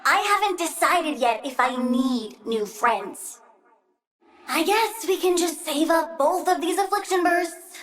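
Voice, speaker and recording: A woman's voice: high-pitched, lively intonation, slow pace, a bit bright, full character voice. A woman playing a childlike plant-human girl villain in a video game, bratty and petulant, swinging from chirpy to gleefully vengeful menace. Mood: bossy, menacing.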